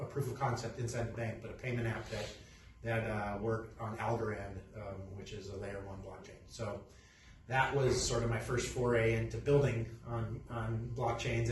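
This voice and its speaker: A middle-aged man from Peru, a company CEO, introducing his background in a halting, casual way with many restarts. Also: medium pitch. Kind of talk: casual self-introduction talk. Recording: echoey room, distant mic, faint noise.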